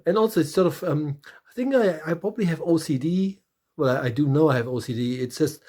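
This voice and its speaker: A man's voice: on the high side, warm tone. A middle-aged man with a German accent, hosting a casual interview chat and talking in a hesitant way with many ums and restarts. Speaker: podcast host.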